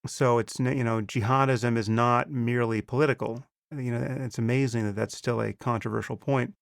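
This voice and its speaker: A man hosting a podcast interview, speaking in a measured, thoughtful way with some stammered restarts. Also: medium pitch, fairly even intonation, gravelly, no room sound, clean studio sound. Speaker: podcast host. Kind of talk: conversational interview.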